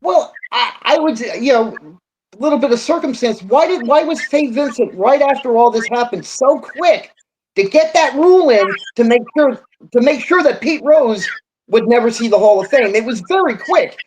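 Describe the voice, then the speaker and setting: A man's voice: extremely high pitch, lively intonation, moderate pace, rough recording. This is a man talking casually as a panelist in a sports debate. He explains his position insistently but stays conciliatory. The recording carries some faint noise and clicking behind his voice.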